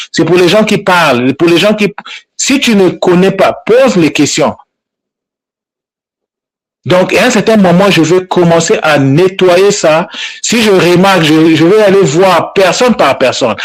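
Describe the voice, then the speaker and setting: Man, fairly high voice, informal talk to followers, insistent, repeats words. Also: low-quality recording. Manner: insistent, admonishing, with frequent repetitions. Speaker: language teacher addressing his online group. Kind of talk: informal spoken address to followers.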